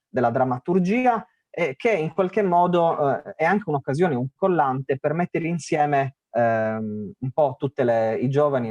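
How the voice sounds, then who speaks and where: A man's voice: medium pitch, darkish tone, no room sound. A man with a Sardinian Italian accent presenting a radio festival, talking casually with many fillers and restarts. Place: remote online call.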